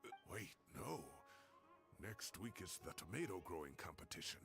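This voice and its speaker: An actor playing an aging male professor in a video game, a wry, wistful and fond old mentor with a gravelly voice who teases and reminisces. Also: low voice, big pitch swings, moderate pace, heavily acted. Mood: wry, teasing. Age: older. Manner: wry, teasing, rambling reminiscence with sighs. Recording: game audio with music underneath. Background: game music.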